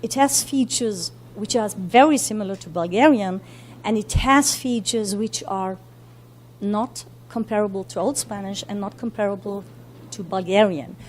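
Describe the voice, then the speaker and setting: Fast-talking woman lecturing with many fillers. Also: mid-range voice, a little hiss or hum. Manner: talks quickly with many fillers and self-corrections. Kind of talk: academic lecture. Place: university lecture room.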